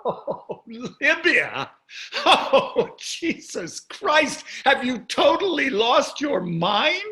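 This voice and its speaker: An actor playing a wealthy, cynical husband in a staged play reading, sarcastic and dismissive in delivery. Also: male voice, very high voice, sing-song, extremely slow, bright, forceful tone, exaggerated voice. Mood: mocking. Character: wealthy, cynical, callous, golf-loving husband.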